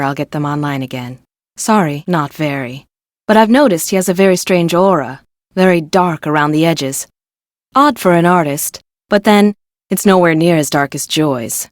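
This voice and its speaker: Low-voiced, self-assured quirky woman engineer. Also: a little mellow, no room sound, clean studio sound. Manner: matter-of-fact, wry, articulate. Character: self-assured, quirky new-age woman engineer, park maintenance supervisor. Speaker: video game voice actor. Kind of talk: scripted adventure game dialogue.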